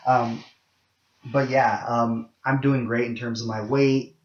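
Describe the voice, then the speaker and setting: A young man with a low voice talks straight to his viewers, candid and rambling, full of ums and false starts. The sound is a little muffled and lo-fi. His voice carries the echo of a bare room. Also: steady tone, slow pace. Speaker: vlogger. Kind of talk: casual monologue to camera.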